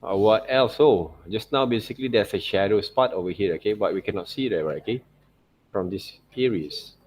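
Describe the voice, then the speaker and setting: Low-voiced man giving an informal art tutorial. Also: a little fast, darkish tone, slight background noise. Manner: thinking aloud, punctuating with okay and right as check-ins. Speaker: digital illustration teacher. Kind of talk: informal software tutorial demonstration.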